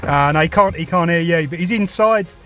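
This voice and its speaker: Higher-voiced southern English man on CB. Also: fairly quick. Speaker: CB radio operator filming a vlog. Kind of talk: casual radio chat. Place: inside a parked vehicle. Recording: music and radio sounds inside a vehicle.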